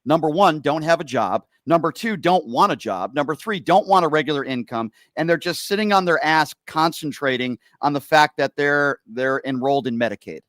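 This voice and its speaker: A man's voice: a little high, lively intonation, normal speed, few pauses, a bit bright, no room sound, clean studio sound. A man from Connecticut hosting a heated political debate, pressing his guest with rhetorical questions. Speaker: host.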